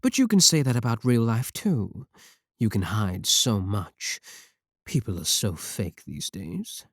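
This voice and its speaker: A man's voice: lowish voice, expressive, unhurried, no echo, studio quality. A man playing a clingy, possessive yandere VTuber, pleading defensively and intimately with a single viewer in an ASMR roleplay. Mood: obsessive, coaxing.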